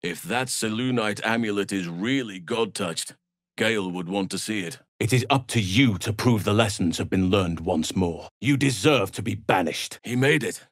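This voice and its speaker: Man playing male elf druid, solemn, earnest guardian of nature, low voice, formal game dialogue, dry close recording. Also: dry recording, natural voice. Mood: calm, measured. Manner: solemn, measured, formal phrasing. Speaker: video game character. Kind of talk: scripted game voice lines.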